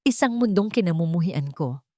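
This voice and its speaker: A woman's voice: lowish voice, animated, moderate pace, darkish tone, no room sound, clean studio sound, lightly acted. A Filipino woman voicing a guarded, bitter young single mother in a storybook drama, curt and hurt. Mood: wary, bitter.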